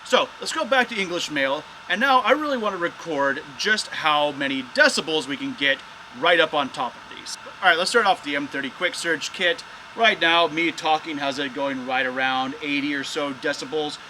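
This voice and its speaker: A man's voice: fairly high pitch, normal speed, few pauses, sharp tone, slight background noise, low-quality recording. A man hosting a casual, hands-on product test of drone gear as a reviewer.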